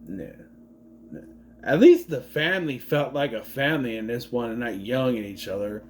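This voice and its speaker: Late-30s male vlogger, middle pitch, animated delivery, tangent-prone, faint room ambience. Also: moderate pace, slight background noise. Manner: rambling, swears freely, gets sidetracked. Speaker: movie reviewer. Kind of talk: casual review vlog.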